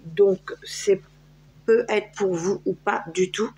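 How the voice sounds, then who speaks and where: A woman's voice: mid-range voice, steady tone, takes it slowly, a little mellow, husky. A French woman giving a casual tarot reading, chatty and hesitant, with many fillers. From France. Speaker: tarot card reader. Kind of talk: casual tarot guidance video.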